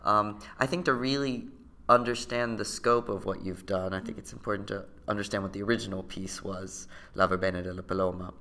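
A man hosting a podcast, hoarse from a cold, reading a scripted intro and then asking questions with many fillers. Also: fairly low pitch, steady tone, fairly quick, sharp tone. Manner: hesitant with many fillers when unscripted. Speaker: podcast host.

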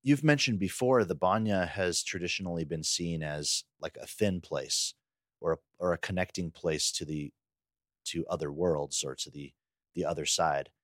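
A man's voice: deep voice, moderate pace, no room sound, clean studio sound. A man co-hosting a podcast, talking in a casual, conversational way. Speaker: podcast co-host.

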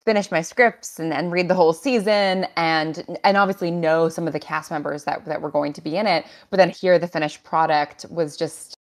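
Woman, low pitch, enthusiastic, articulate, writer in a panel discussion. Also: fairly even intonation, moderate pace, few pauses. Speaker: audio drama writer.

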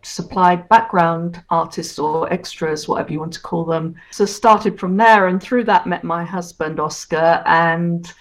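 A woman's voice: on the low side, poor audio. A woman in her sixties from Hong Kong, chatting as a guest in an interview and reminiscing about her career. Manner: chatty, reminiscing, quick to laugh. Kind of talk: casual interview.

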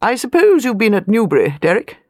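A woman's voice: mid-range voice, very animated, a little fast, a little mellow, no echo, studio quality, pitched above the performer's own voice. A woman voicing an imperious, chatty elderly English aristocrat in clipped, emphatic phrases for an audiobook. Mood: brisk. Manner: clipped, dropped-subject, emphatic speech.

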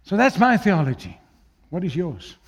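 A man from South Africa with an Afrikaans accent preaching a sermon, swinging between loud declaiming and quiet, low asides. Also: wildly swooping pitch, normal speed, breathy edge.

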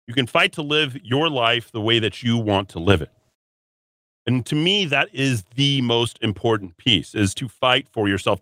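A man's voice: mid-range voice, pushed and bright, dry recording, studio quality. A man hosting a solo talk radio show, combative and rambling, with a sniffly runny nose. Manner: combative, rambling, repeats words and restarts. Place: radio studio.

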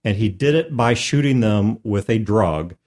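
A man talks as a podcast host and game master, casually recounting a tabletop session. His delivery is animated and emphatic, with pitch that moves around a lot as he builds the story. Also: a little slow.

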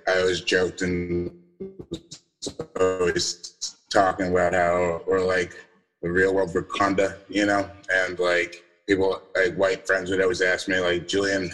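A young man with a deep voice giving a casual, halting personal talk on a video call, full of fillers and restarts. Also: a bit bright, poor audio. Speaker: event speaker.

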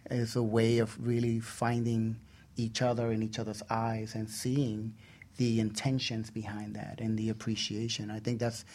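A man's voice: on the low side, monotone, normal speed, darkish tone. A man hosting a casual radio discussion, talking in an earnest, conversational way. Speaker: radio show host.

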